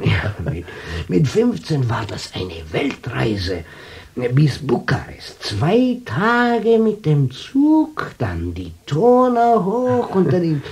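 A slow, swooping old shopkeeper. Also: male voice, mid-range voice, creaky, darkish tone, heavily acted. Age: 60s. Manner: rambling, halting, chuckling storyteller. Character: wily, chatty old Romanian shopkeeper, evasive and hospitable. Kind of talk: radio drama acting.

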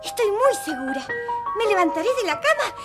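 Very high-voiced, frail little girl. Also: very animated, fairly quick, loud music behind the voice. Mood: hopeful. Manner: gentle, earnest, childlike. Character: frail, sweet, hopeful sick little girl. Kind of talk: children's audio storybook acting.

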